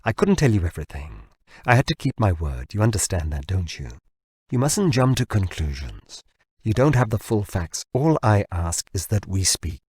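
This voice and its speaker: A man's voice: on the low side, big pitch swings, moderate pace, a little mellow, breathy edge, no echo. A narrator puts on an agitated male company founder from an audiobook. He is urgent and pressing, with staccato phrasing and a wheedling edge. The sound is close and dry. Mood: pleading, urgent.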